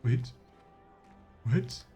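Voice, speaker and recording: A man's voice: drawn-out words, mellow, faint music behind. A man streaming a fantasy role-playing game, reading text aloud and chatting in a playful, self-questioning way.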